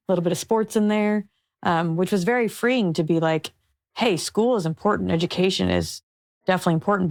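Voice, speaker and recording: A woman's voice: fairly low pitch, dry recording, studio quality. A woman hosting a podcast, chatting in an enthusiastic, upbeat way. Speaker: podcast host. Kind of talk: casual interview conversation.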